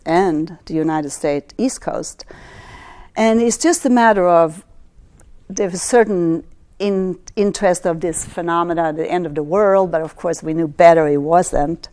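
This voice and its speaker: Swedish lady rambling through an astrology talk, lowish voice, lots of ums and restarts. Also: a little mellow. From Sweden. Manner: rambling, halting delivery with frequent restarts and fillers. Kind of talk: astrology lecture.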